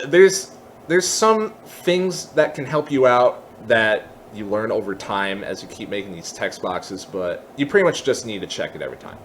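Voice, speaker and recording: A man giving a casual software tutorial, explaining each step in an informal, candid way as he thinks aloud. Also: mid-range voice, a little hiss or hum. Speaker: tutorial host.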